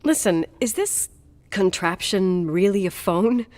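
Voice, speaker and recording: A woman's voice: mid-range voice, a little slow, darkish tone, no echo, lightly acted. An actress playing a strong-willed young woman in a video game, guarded and dryly teasing, haunted by her past. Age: young adult. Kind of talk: naturalistic video game voice acting.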